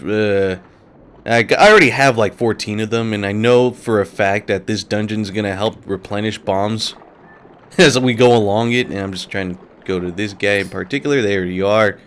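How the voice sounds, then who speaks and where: A man's voice: on the low side, smooth. A man hosting a let's play, talking casually and rambling while sick and a little nasal. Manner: casual, rambling, laughs often. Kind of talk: gameplay commentary.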